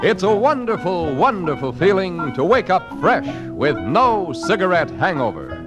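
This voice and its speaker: A man reading a 1940s radio commercial as an enthusiastic sales pitch. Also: sing-song, moderate pace. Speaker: commercial announcer. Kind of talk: 1940s radio cigarette advertising. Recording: old radio transcription with music. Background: loud show music.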